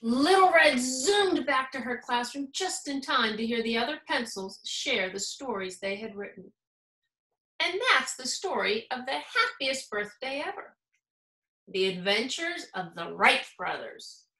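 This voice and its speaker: A woman reads a children's story aloud with expression. Her voice is fairly high and bright, her pace is unhurried, and the room adds a noticeable echo. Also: expressive. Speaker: school principal reading aloud. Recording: roomy echo.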